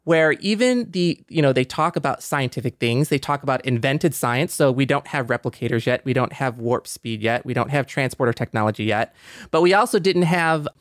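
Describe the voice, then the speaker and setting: A man's voice: mid-range voice, animated, brisk, dry recording, clean studio sound. A man hosting a podcast, talking enthusiastically with lots of fillers. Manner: enthusiastic, many fillers like "like" and "you know". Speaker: podcast host. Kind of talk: casual conversation.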